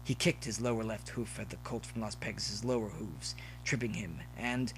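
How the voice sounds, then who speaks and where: A man's voice: fairly low pitch, moderate pace, a little hiss or hum, rough recording. A man reading a story aloud as narrator in an amateur recording. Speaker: audio fiction reader. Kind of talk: scripted story narration with asides.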